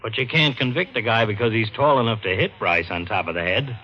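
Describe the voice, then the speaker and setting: A man's voice: on the low side, a little fast, close to an everyday voice. A man playing a blunt, skeptical, exasperated police lieutenant in an old-time radio detective drama. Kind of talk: 1940s-50s radio detective acting. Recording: muffled, noisy old radio recording.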